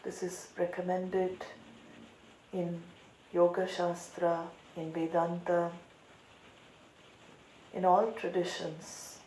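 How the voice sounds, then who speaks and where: A woman meditation teacher leading a guided meditation calmly and deliberately, with long pauses between phrases. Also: lowish voice. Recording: roomy echo, light background noise.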